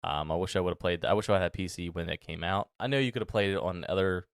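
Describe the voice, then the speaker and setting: A man's voice: deep voice, fast, clear, resonant tone, no echo, clean studio sound. A man of thirty hosting a solo podcast, talking casually and rambling with many fillers and restarts. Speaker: podcast host.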